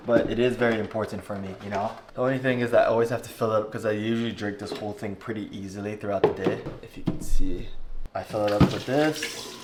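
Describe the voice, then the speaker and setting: A man's voice: medium pitch, heavy background noise. A young man from Hawaii vlogging unscripted, rambling earnestly with lots of "you know" and "like". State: jet lagged. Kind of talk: unscripted casual vlog talk.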